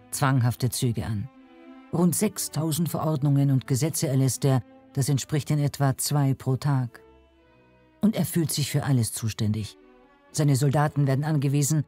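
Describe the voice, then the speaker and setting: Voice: mid-range voice, mellow. A narrator reading a scripted documentary narration. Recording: music bed under narration. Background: music.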